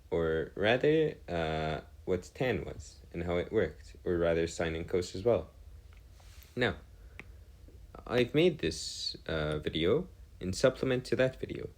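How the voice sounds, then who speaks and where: A man giving an explanatory math lesson as a tutor, speaking in formal, wordy phrases and hesitantly correcting himself as he goes. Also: sits low. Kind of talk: semi-improvised explanatory lesson.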